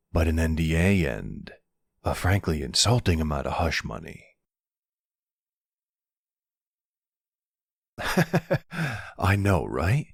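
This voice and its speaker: A man playing a charming, smooth-talking mafia boss in an ASMR roleplay, wry and flirtatious. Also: deep voice, no echo, clean studio sound, exaggerated voice. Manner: flirtatious, wry, exasperated with his cousin. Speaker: voice actor.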